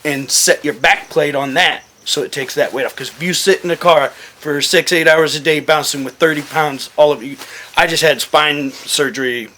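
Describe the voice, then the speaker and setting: A man with a bright, forceful voice pitched a little high. He talks casually, like someone swapping stories with friends, blunt and joking, with plenty of swearing. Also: steady tone, moderate pace, slight background noise. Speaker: firearms gear talk guest and former overseas contractor. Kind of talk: casual storytelling to a small group.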